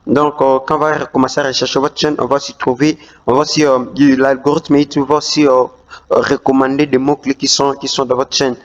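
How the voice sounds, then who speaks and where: Flat, hesitant man with dull lo-fi sound. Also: mid-range voice, fairly quick. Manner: hesitant, many "euh" fillers and restarts. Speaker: YouTube tutorial host. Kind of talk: screen-recorded tutorial walkthrough. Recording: dull lo-fi voice-over.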